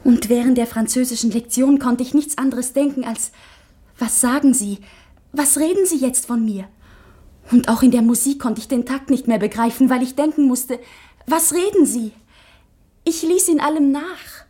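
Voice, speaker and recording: High-voiced, earnest schoolgirl. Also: dark tone, husky. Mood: anxious, confiding. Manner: earnest, poetic, halting delivery. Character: anxious schoolgirl daughter Fernanda, intense and confiding. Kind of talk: literary radio play acting.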